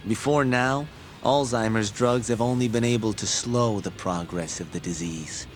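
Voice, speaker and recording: Guy acting a learned expert in a game cutscene, lowish voice, patient n articulate lecturing, music playing behind. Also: natural voice. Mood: earnest explaining. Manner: didactic, articulate, measured explaining. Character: learned male medical expert, patient, earnest, wryly teasing lecturer. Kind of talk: English video game dub, explanatory dialogue. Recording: background music under the voice.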